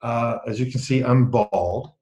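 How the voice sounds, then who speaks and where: A middle-aged man with a low voice presenting a leadership course to a group in a meeting room, casual and dryly self-mocking, often restarting his sentences. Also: unhurried, a little mellow. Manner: dry self-mocking humor, frequent restarts. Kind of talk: casual teaching talk to a small group. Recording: echoey room.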